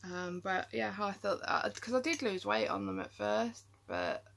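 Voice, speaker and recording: A woman's voice: mid-range voice, wildly swooping pitch, measured pace, gravelly, fairly bright tone. A tired woman chatting casually to camera in a rambling vlog, with lots of ums and trailing thoughts. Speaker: vlogger.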